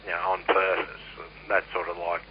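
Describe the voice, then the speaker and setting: A man's voice: low voice, completely flat delivery, normal speed. An Australian man chatting casually over CB radio in a blunt, rambling, sweary manner. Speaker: CB radio operator. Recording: muffled, noisy CB radio transmission.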